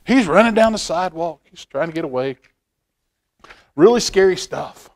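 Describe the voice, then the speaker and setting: Middle-aged man, slightly high-pitched, storytime reader, expressive, folksy asides, repeated phrases. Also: normal speed. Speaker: library storytime reader, stand-in host. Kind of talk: animated picture-book read-aloud with asides to children. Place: indoor library room, seated at a table.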